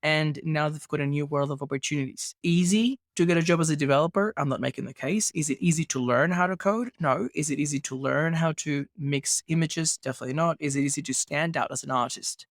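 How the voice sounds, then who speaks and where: A man's voice: fairly high pitch, fairly quick, hardly any pauses, no echo, clean studio sound. Thoughtful man hosting a podcast. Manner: thoughtful, builds points with rhetorical questions. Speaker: podcast host. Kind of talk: casual podcast conversation.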